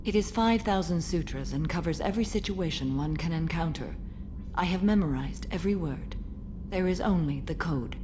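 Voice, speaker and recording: An actress playing an ancient, stern yet serene alien warrior in a video game, speaking formally and with measured care. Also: female voice, on the low side, lively intonation, noisy background, close to an everyday voice. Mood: stern, resolute. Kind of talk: dramatic game dialogue.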